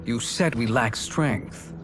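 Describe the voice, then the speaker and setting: Slow-speaking, shrewd male sorcerer played by an actor. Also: mid-range voice, background noise, close to an everyday voice. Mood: thoughtful. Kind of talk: dramatic video game acting.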